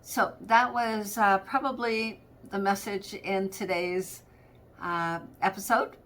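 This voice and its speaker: Female training coach, middle-aged, mid-pitched voice, conversational and anecdotal instructional delivery. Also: fairly even intonation, takes it slowly, a bit bright. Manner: conversational, anecdotal, acts out example phone greetings. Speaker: practice management coach presenting a training episode. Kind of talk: informal instructional talk.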